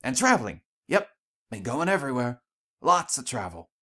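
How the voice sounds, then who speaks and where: A man's voice: medium pitch, very animated, moderate pace, sharp tone, voice pushed up. A man voicing a brash, guarded, tomboyish female pegasus in a storybook reading, clipped and slangy. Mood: evasive.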